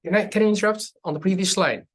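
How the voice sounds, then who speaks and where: A man in the seminar audience quickly questioning the speaker. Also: sits high, a crowd in the background. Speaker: seminar attendee.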